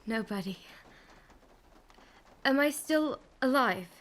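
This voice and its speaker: A woman's voice: high voice, lively intonation, slow pace, fairly bright tone, heavily acted. A young woman acting a despairing, bitter and defiant young woman in a radio play, dramatic and scornful. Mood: bleak, dazed. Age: young adult.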